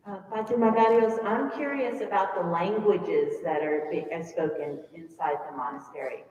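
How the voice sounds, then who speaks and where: A woman's voice: fairly low pitch, normal speed, a little mellow. Woman asking a question from across a hall. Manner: hesitant, searching for words. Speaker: audience member asking a question. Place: church hall. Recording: dull, echoey hall from far off.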